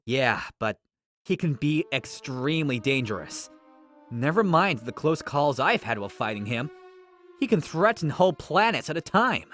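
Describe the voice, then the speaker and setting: A man's voice: a little high, sing-song, normal speed, a bit bright, faint music behind. A man voicing a confident young male hedgehog hero in a comic dub, with a breezy, teasing way of talking.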